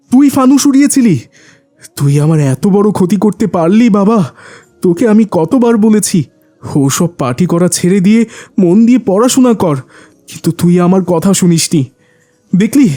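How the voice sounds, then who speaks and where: A distraught shopkeeper dad in a children's cartoon. His voice is high for a man but dark and soft-edged, with a melodramatic, lamenting delivery. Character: distraught, struggling shopkeeper father. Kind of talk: children's moral cartoon dubbing.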